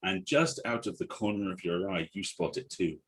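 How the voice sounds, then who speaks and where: Low-voiced man reading scripted narration. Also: normal speed, slight background noise. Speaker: stage direction reader. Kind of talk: scripted narration at a table read.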